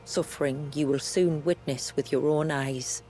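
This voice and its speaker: An actress playing a steadfast village elder and guide in a video game, speaking in formal, measured, archaic phrases. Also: female voice, low voice, fairly even intonation, warm tone, soft background music, rough recording. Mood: solemn. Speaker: village elder guiding travelers. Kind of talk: video game cutscene acting.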